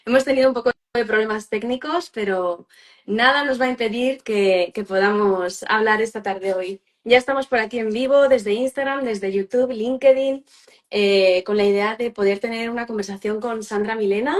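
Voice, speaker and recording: A woman's voice: fairly high pitch, normal speed. A woman psychologist hosting a live-streamed interview, talking with many fillers and restarts.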